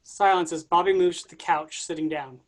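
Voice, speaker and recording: A man reading stage directions aloud in a plain, even way during a staged reading. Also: on the high side, fairly quick.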